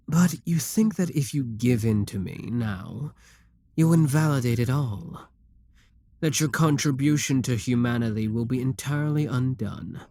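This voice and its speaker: An arrogant demon king, played by a man, speaks slowly and deliberately in a dry recording. He is possessive and seductive, mocking the listener as he persuades. The delivery is theatrical, in the style of an audio roleplay monologue. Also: mid-range voice, dark tone, dry recording.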